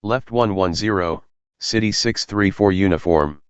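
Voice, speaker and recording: A man's voice: sits low, measured pace, smooth, no room sound. A man giving clipped aviation phraseology over an air traffic radio transmission. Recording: dull, band-limited air traffic radio.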